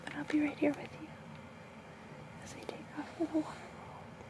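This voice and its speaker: A woman doing an ASMR roleplay as a gentle guide, speaking in a soothing, reassuring, breathy whisper with unhurried pauses. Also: sits high, completely flat delivery. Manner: soothing, reassuring personal attention; slow guided-relaxation delivery with pauses. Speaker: ASMR host playing a space travel guide.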